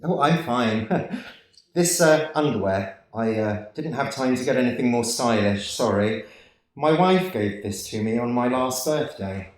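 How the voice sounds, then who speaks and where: A man in a radio play playing a gentle, naive, hesitant elderly apprentice angel. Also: medium pitch, expressive, measured pace, lightly acted. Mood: sheepish, hesitant. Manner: hesitant, stammering, earnest. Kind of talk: 1940s radio play acting. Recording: very echoey room, distant microphone.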